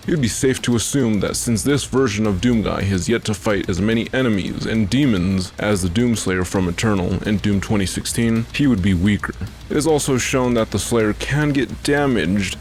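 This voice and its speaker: A man's voice: on the low side, a little mellow. A man narrating a scripted video essay as its host.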